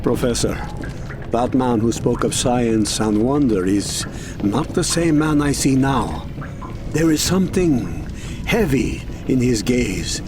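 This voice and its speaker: A loyal, earnest manservant speaks in a medium-pitched, formal voice. The delivery is measured audio drama acting, over clear boat and water noise. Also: male voice, lively intonation. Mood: troubled.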